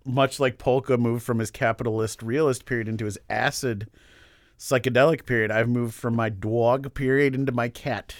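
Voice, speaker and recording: A man's voice: medium pitch, steady tone, moderate pace, dry recording, clean studio sound. A man with a stuffed-up, nasal voice co-hosting a podcast in a casual, joking, self-deprecating way. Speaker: podcast co-host discussing art. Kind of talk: casual chat.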